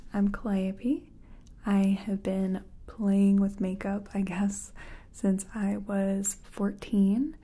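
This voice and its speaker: A woman speaking in a breathy whisper and soft tones, gently chatting in an ASMR personal-attention roleplay as a makeup artist. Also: medium pitch.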